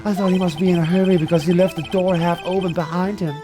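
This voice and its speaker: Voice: medium pitch, fairly even intonation, fast, over music, close to an everyday voice. A performer voicing a shifty, nervous newspaper seller, a man giving testimony in a video game reading. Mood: dramatic, shifty. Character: shifty, jumpy middle-aged male newspaper seller witness.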